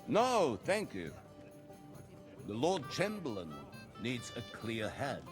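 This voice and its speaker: An actor playing an authoritative medieval lord in a game cutscene, speaking in a formal, declamatory manner. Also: male voice, medium pitch, wildly swooping pitch, takes it slowly, over music. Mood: firm, decisive. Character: stately, authoritative medieval lord commanding his gentlemen. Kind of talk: video game cutscene acting.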